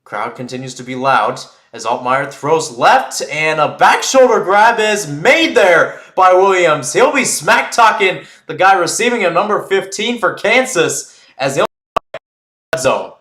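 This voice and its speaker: A man's voice: fairly high pitch, unhurried, roomy sound. A man doing live football play-by-play in a radio style, explaining at length between plays. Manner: rambling and explanatory between plays, excited on big plays. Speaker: play-by-play commentator.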